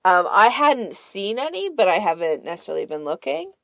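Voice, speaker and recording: A woman's voice: medium pitch, big pitch swings, a little fast, gravelly, fairly bright tone, no room sound. A woman from Canada chatting casually as a podcast host, rambling and peppering her talk with "like". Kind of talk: casual chat.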